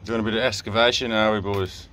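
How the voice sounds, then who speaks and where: A man's voice: fairly low pitch, fast, a little hiss or hum. A man with an Australian accent, a father casually chatting and teasing his sons while filming outdoors on a handheld phone. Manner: relaxed, teasing, easygoing dad talk. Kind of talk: casual family vlog chat. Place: outdoors in seaside sandstone caves. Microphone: handheld phone camera.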